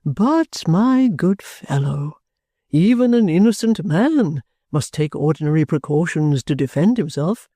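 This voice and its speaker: A reader voicing a kindly, vehement gentleman guardian in an audiobook, speaking with earnest, drawn-out emphasis. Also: medium pitch, lively intonation, slightly rough, no room sound, studio quality.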